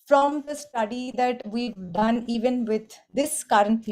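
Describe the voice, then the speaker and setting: A woman speaking as an industry panelist in a webinar, articulate, with bursts of quicker delivery. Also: fairly high pitch, a little mellow.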